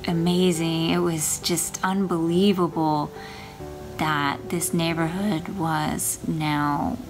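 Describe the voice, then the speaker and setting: Deep voiced lady telling her story real slow, music behind her. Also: little pitch movement, poor audio. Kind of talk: candid personal storytelling.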